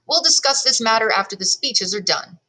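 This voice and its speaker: A woman's voice: a little high, expressive, normal speed, pushed and bright. A woman playing a stern schoolteacher in a children's story, addressing her class in firm, authoritative, clipped phrases.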